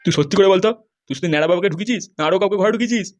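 A man's voice: high voice, rapid-fire, a little mellow, natural voice. A man voicing a furious, foul-mouthed politician husband in a comedy cartoon, scolding and accusing. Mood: bitter, scolding.

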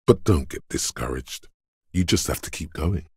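An actor voicing a stern, gruff, goading inner voice of bodily endurance in a video game, with a gravelly, husky bass and a weighty, deliberate delivery. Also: male voice, lively intonation, darkish tone, no echo, clean studio sound, heavily acted. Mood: grave, encouraging. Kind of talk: dramatic video game narration.

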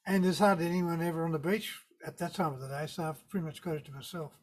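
An older man with a Tasmanian accent, a surf photographer talking on a video call in a relaxed, rambling way with dry understatement. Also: fairly high pitch, quick pace. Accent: Tasmanian Australian. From Tasmania, Australia. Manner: relaxed, rambling storyteller with laid-back understatement. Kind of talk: casual interview on a video call. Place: indoor room with a virtual wave backdrop. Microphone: video call webcam.

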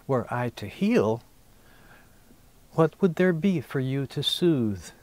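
A man in his sixties, a poet, reading his own verse intimately, in measured phrases with deliberate pauses. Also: mid-range voice, big pitch swings, a little mellow, no echo. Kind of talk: poetry reading, intimate recitation.

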